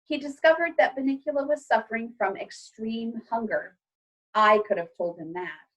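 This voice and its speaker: A woman reading a children's storybook aloud like a teacher, with expressive, clearly phrased delivery. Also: a little high, moderate pace, rough recording.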